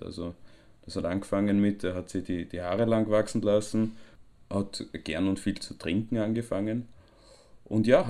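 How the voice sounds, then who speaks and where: Mellow, resigned Austrian man, acted. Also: on the low side, lightly acted. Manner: halting, repeating words. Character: resigned, matter-of-fact grown son of a wayward father. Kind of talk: naturalistic monologue in radio drama.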